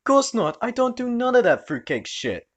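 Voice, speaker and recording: A man's voice: medium pitch, very animated, normal speed, lightly acted. A man voicing a crude wannabe gangster in his thirties with a put-on old-time mobster accent. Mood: blustering.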